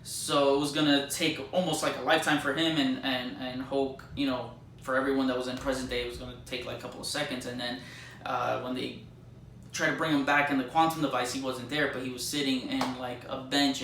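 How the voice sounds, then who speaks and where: Echoey, bright-voiced man rambling about films. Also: medium pitch. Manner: rambling, slangy, mildly sweary fan talk. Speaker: movie reviewer. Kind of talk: casual spoken movie review with spoilers. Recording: roomy echo, distant mic, faint noise.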